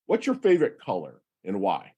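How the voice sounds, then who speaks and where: A man's voice: lowish voice, wildly swooping pitch, fairly quick, mellow, slightly rough. Upbeat man hosting a podcast. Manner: enthusiastic, hype-filled delivery. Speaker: podcast host. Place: home near the ocean.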